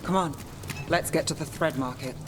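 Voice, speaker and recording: A man's voice: fairly high pitch, moderate pace, music in the background, lightly acted. A man playing a cool, determined fantasy detective with a terse, dry, businesslike way of talking in a video game.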